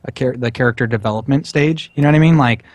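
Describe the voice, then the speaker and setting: A man's voice: mid-range voice, fast, darkish tone, no room sound. A North American man in casual podcast discussion, talking in a rambling way and repeating phrases. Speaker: podcast co-host. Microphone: close mic on an internet voice call.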